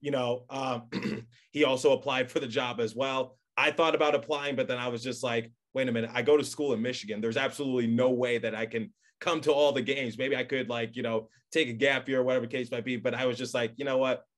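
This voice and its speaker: A man's voice: medium pitch, steady tone, brisk. A young man hosting a casual podcast interview, chatty, with long wordy questions and asides. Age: young adult. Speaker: podcast host.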